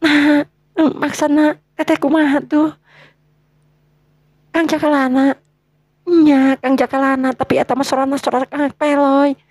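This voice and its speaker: Woman doing a gentle anxious young maiden in a folktale, high voice, slow n hesitant, very dry sound. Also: level tone, no room sound. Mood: puzzled. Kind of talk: Sundanese folktale character acting.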